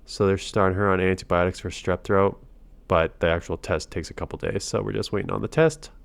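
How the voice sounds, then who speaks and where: A sick man with a stuffy, nasal voice on the low side, talking through his game in a loose, casual way. He often cuts himself off and restarts his sentences. The sound is close and dry, with no room in it. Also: fairly even intonation, a little fast, vocal fry, dry recording. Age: adult father. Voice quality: nasal, congested. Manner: thinks aloud, breaks off and restarts. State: sick with a cold. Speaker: gaming channel host. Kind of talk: casual battle commentary.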